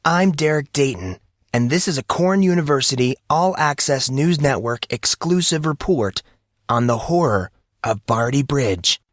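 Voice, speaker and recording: A man's voice: medium pitch, expressive, normal speed, no room sound, clean studio sound, voice pushed up, close to an everyday voice. A man voicing a smug, theatrical teenage boy news anchor, delivering grandiose oratory as a mock broadcast in an audio story.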